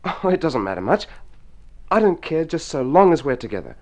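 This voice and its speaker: An Australian actor playing an idealistic young painter husband in a mid-century radio drama, tender and assured. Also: male voice, on the high side, big pitch swings, brisk, darkish tone.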